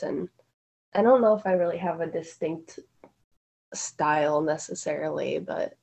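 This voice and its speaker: A woman's voice: deep voice, gravelly, warm tone. Chatty teenage girl, filler-heavy. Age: teens. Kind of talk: casual group conversation.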